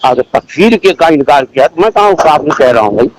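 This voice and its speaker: Halting male cleric on noisy phone call. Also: on the high side, expressive. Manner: halting, repetitive, defensive. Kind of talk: religious debate on a phone call.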